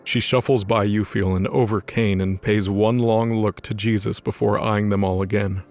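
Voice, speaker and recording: A man's voice: on the low side, fairly even intonation, moderate pace, a little mellow. A man giving scripted narration for a dramatized fantasy story.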